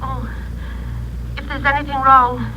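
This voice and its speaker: A woman's voice: sits high, little pitch movement, measured pace, pushed and bright. A woman playing an anxious, polite middle-aged mother in an old radio drama, stammering and apologetic on a phone call. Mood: worried. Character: anxious, polite small-town mother phoning about missing daughter. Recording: old radio, noisy telephone-like line.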